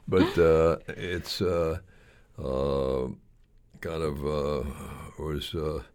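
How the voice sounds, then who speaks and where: An older man speaking as an interview guest in a halting, rambling, conversational way, often repeating his phrases. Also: very deep voice, fairly even intonation, darkish tone, clear, resonant tone.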